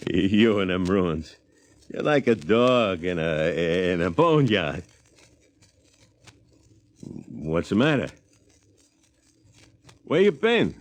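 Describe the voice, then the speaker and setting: A man's voice: low-pitched, sing-song, very slow, pauses often, exaggerated voice. An actor playing a crude, callous American spaceman in 1950s radio drama style, slangy and scornful. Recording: noisy old 1950s radio recording.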